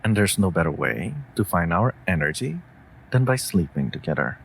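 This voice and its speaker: A man's voice: low voice, sing-song, normal speed, gravelly, a little hiss or hum, exaggerated voice. A man playing a devoted, worried wolf familiar in a comforting audio roleplay, speaking tenderly and formally to a mage. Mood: gently firm. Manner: tender, earnest, formal phrasing.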